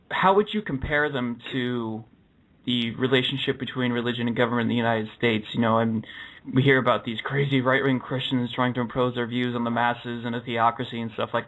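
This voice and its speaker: A man hosting a radio talk show, earnestly reading through cases and interviewing a guest, often pausing with uh and correcting himself. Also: mid-range voice, a bit bright. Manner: earnest, with frequent uh's and self-corrections. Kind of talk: reading case summaries and interviewing. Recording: dull lo-fi broadcast audio.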